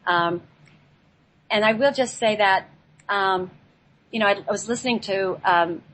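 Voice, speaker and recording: A woman giving an unscripted spiritual talk as a guest, pausing often and repeating herself as she searches for words. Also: medium pitch, a bit bright, low-quality recording. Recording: clear background noise.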